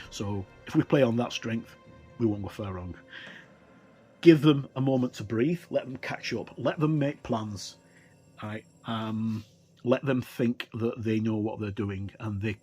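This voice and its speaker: Middle-aged male, mid-pitched, casual explainer with rambling asides and emphatic key points, faint music underneath. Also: darkish tone, breathy edge. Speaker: tabletop gaming reviewer.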